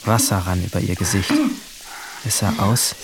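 A man's voice: low voice, steady tone, moderate pace, gravelly, heavy background noise. A man playing a troubled, brooding seventeen-year-old boy who narrates his own story introspectively in a radio drama. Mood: anguished, tense. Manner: introspective, literary. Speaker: narrator and lead character.

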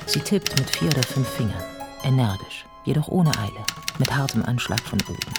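Male narrator, scripted radio play. Deliberate, measured delivery, mid-range voice over a prominent music bed. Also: medium pitch, animated, vocal fry.

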